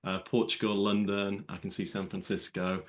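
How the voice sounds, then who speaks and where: A man's voice: lowish voice, little pitch movement, moderate pace. A man hosting a live webinar in an upbeat, chatty, welcoming way. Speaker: webinar host.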